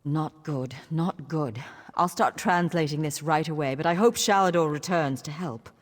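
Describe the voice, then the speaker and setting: An actress playing an earnest, scholarly mage with a formal, dryly wry manner in a fantasy video game. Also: female voice, deep voice, moderate pace. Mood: concerned. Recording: long hall reverb.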